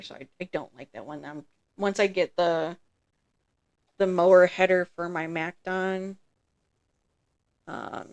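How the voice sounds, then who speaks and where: Congested woman with a gravelly low voice. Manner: relaxed, chatty, trailing off with ums. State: congested from allergies. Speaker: streamer. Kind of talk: casual gameplay commentary.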